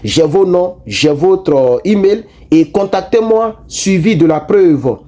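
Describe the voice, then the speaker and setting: A man giving casual political commentary to his online viewers, animated and repetitive, with frequent restarts. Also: high-pitched, takes it slowly. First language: not French.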